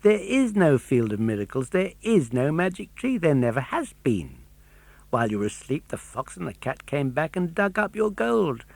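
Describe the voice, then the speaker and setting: Man voicing a mocking storybook pigeon, pitched high. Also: sing-song, moderate pace, vocal fry, darkish tone, no room sound, exaggerated voice. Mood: pitying scorn. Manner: scornful, emphatic, lecturing. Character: mocking, chuckling know-all male pigeon in a children's storybook.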